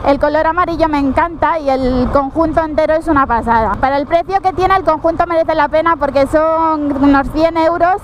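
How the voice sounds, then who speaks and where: A woman's voice: high voice, a little fast, hardly any pauses, a little hiss or hum. A woman with a Spanish accent riding a dirt bike on a muddy trail, exclaiming and swearing as she goes. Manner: exclaiming, swears often while riding through mud. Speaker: riding partner. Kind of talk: casual riding chat.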